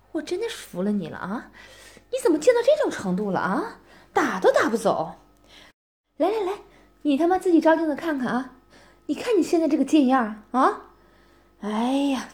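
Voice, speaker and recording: A woman voicing a scornful young woman who mockingly berates her ex-boyfriend in a one-voice audio roleplay, sneering and full of insults. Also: sits high. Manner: sneering, mocking, profane, keeps taunting with "啊？".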